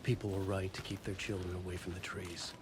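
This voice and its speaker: An actor playing a haunted, brooding writer who narrates a horror story gravely in a video game. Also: male voice, deep voice, flat delivery, fairly quick, lightly acted. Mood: ominous. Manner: grave, ominous delivery. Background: loud music.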